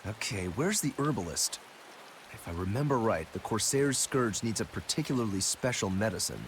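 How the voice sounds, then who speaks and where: A man's voice: fairly low pitch, lively intonation, normal speed, slight background noise. An actor voicing a wry, unbothered man in a video game, with a casual, dry, teasing manner.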